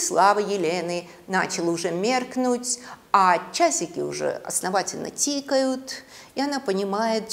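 Expressive, creaky-voiced middle-aged woman lecturing. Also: medium pitch. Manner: theatrical, expressive storytelling with deliberate pauses. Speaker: university lecturer. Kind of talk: dramatic lecture on literature and mythology. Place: classroom, indoors.

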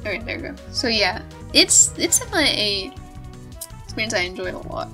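A woman's voice: mid-range voice, very animated, brisk, sharp tone, soft background music. A woman talking off the cuff in a casual, rambling, giggly way with some swearing, like a video creator giving her opinions. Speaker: video creator reviewing visual novels. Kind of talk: unscripted casual chat.

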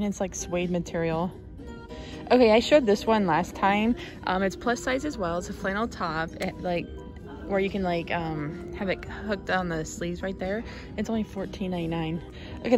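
A woman's voice: medium pitch, darkish tone. A woman with an American accent giving casual, informal shop-with-me narration in a chatty way. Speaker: shopping vlogger.

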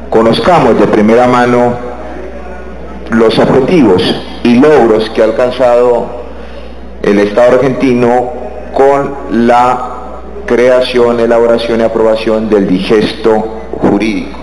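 A man with a Colombian accent chairing a parliamentary committee, giving brisk, formal procedural announcements. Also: on the low side, darkish tone. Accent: Colombian Spanish. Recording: roomy chamber.

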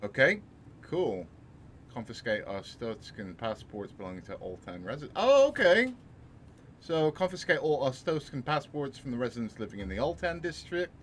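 A man's voice: mid-range voice, moderate pace, slight background noise. A man in his thirties with a sore throat, chatting casually and thinking aloud as he plays a game in a small home room. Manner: relaxed, rambling, thinking aloud. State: sore throat, voice lower than normal. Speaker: streamer. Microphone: headset with desk mic.

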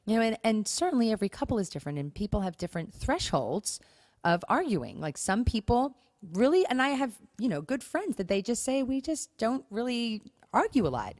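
A woman's voice: on the high side, very animated, brisk, darkish tone, no room sound, clean studio sound. A middle-aged American woman co-hosting a podcast in casual conversation, chatty and emphatic, repeating words for stress. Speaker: podcast co-host.

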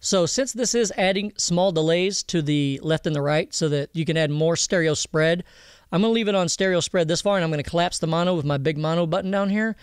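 A man explaining audio software step by step in an informal tutorial, often checking that the listener follows. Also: a little high, fairly quick, clear, resonant tone, dry recording, studio quality. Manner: explanatory, checks understanding with "okay?", "all right?". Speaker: audio mixing instructor.

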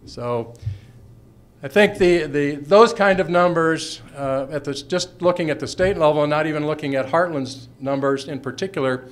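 An older man around sixty speaks as a college official from a podium. His voice sits a little high for a man. The delivery is formal and measured, like prepared remarks in a meeting room. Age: older, about 60. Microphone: podium gooseneck microphone.

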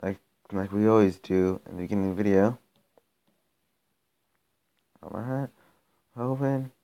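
A young man with a slightly low voice, raspy from a recent illness, talks over his phone gameplay in a loose, rambling way. He trips over his own sentences and grows exasperated when a match goes badly. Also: unhurried, warm tone, muffled recording. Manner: rambling, self-interrupting, gets exasperated during play. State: raspy from being sick. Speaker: gaming channel host. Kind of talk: casual gameplay commentary.

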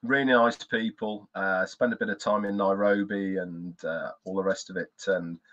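An older man, a guest on a video call, talking in a relaxed, hesitant way with many fillers. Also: low voice, normal speed. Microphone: webcam on video call. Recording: dull lo-fi call audio.

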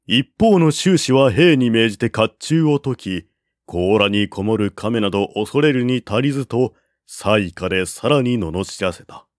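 A man reading a classical historical novel aloud as narrator, in a steady, deliberate manner on a close mic. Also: lowish voice, no echo.

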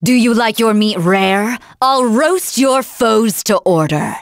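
Sadistic female villain general, video game, mocking and haughty, slow swooping delivery, dry studio sound. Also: on the high side, animated, no echo. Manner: haughty, taunting, drawn-out theatrical delivery. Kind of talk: video game character voice lines.

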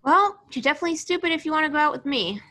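A woman's voice: high voice, lively intonation, very fast, bright, forceful tone. A woman reading the part of a cool, blunt young love interest in an amateur table read, dry and casual. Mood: teasing, dry. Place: online group video call.

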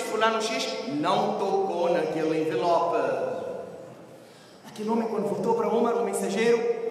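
A male preacher delivers an emphatic sermon in a very high-pitched voice, stretching key words and posing rhetorical questions. He sounds far from the microphone in a big hall with long reverberation, with some background noise. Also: fairly bright tone. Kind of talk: sermon with storytelling and Arabic quotations. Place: large mosque, seated on the pulpit. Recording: very echoey mosque hall, distant, noisy.

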